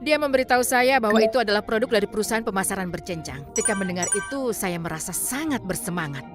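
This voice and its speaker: A woman calmly and earnestly reading a translated personal testimony as a voice-over, with measured pauses. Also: medium pitch. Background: background music.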